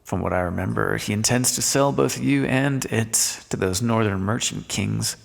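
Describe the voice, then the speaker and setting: A man playing a smug, sardonic thief in an audio roleplay, speaking with mocking mock-courtly politeness and drawn-out emphasis in a long echo. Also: fairly low pitch, creaky. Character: smug, sardonic male thief, gloating at a fallen monarch. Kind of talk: scripted audio roleplay, theatrical monologue. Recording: long echoing reverb.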